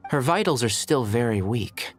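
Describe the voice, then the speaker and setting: An actor playing a gentle, concerned caretaker in a video game, speaking carefully. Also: male voice, medium pitch, animated, normal speed, soft background music, close to an everyday voice.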